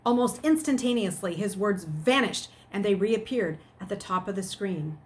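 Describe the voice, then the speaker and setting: A middle-aged woman, a teacher, reading aloud and speaking to her students in an expressive storytelling manner. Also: medium pitch, moderate pace. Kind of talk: expressive narration of a children's novel and chat to students.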